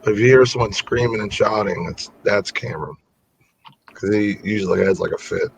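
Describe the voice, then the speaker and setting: A man hosting a casual working livestream from home over a video call, his voice going hoarse as he rambles through stories. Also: fairly low pitch, fairly quick. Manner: rambling, animated storyteller. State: voice getting hoarse. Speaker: livestream host. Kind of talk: casual chat while working. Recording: lo-fi call audio with faint noise.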